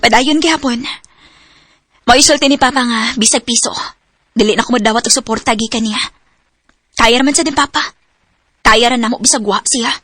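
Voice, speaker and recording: An actress playing a young woman who has just started office work, in a radio drama, speaking earnestly and softly. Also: fairly high pitch, brisk, long pauses. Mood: resentful. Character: young woman Trisha, office worker, hurt by her mother.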